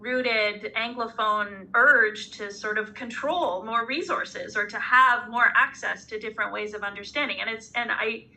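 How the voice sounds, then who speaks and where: Very bright-voiced reflective woman. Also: a little high, unhurried, few pauses, poor audio. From Canada. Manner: thoughtful, hesitant, with many restarts and "you know". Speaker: urban studies scholar. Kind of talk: reflective academic seminar discussion.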